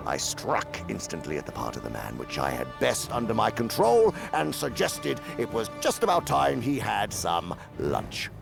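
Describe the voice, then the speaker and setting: An actor playing a sly, condescending older demon uncle who lectures pompously and theatrically in a radio drama. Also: male voice, fairly low pitch, very animated, normal speed, gravelly, hardly any pauses, over music, exaggerated voice. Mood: smug.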